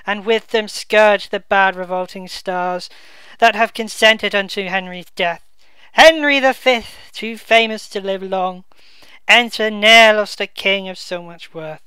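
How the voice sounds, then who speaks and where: A woman reading the part of a grieving noble duke at a king's funeral, declaiming verse slowly and loudly in her own voice. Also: medium pitch, clear, resonant tone, no echo. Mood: mournful. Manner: slow, loud, declaiming verse.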